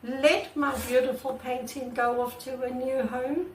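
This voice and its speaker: A woman artist with a croaky voice, talking casually and earnestly to her channel's viewers, recorded on a camera mic without a proper microphone. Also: high voice, fairly even intonation, measured pace, recorded from a distance, a little hiss or hum. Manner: earnest and repetitive, many restarts. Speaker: artist vlogger. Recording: echoey room, away from the mic.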